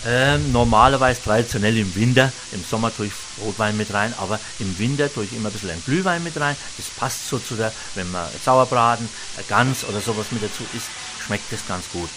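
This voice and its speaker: A man's voice: on the low side, fairly quick, hardly any pauses, background noise. Franconian man chatting while he cooks. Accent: Franconian German. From Franconia, Germany. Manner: homely, rambling, many hesitations. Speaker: restaurant chef. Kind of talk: cooking demonstration chat.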